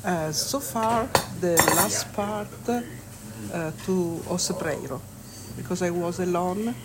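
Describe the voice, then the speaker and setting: Slow-speaking woman. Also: fairly low pitch, background noise. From Italy. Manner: slow, hesitant, searching for words. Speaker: pilgrim interviewee.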